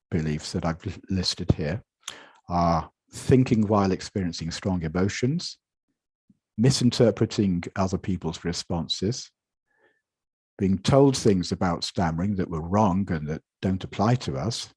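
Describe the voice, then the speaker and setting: A man giving a measured conference lecture from slides, with frequent repetitions and restarts, speaking over an online video call. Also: low voice, darkish tone, breathy edge, dry recording. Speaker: conference presenter.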